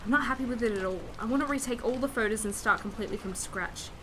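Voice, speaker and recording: A woman's voice: a little high, fast talker, a bit bright, close to an everyday voice. A young woman playing an insecure eighteen-year-old art student, talking casually and hesitantly into a video diary in a radio drama. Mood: self-critical, hesitant. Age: young adult. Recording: noisy room ambience on video diary.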